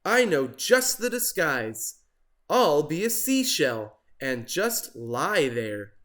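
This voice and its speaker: Storybook turkey, played by a man: eager, dramatic, high and swooping, prone to moans and gobbles. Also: big pitch swings, slow, a bit bright, full character voice. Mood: eager, excited. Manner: exclamatory, moaning and gobbling.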